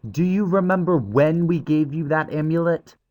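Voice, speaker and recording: A man's voice: medium pitch, animated, moderate pace, dull audio, voice pushed up, close to an everyday voice. A man voicing an eager, earnest and slightly pompous schoolboy in an audiobook. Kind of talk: audiobook character voice.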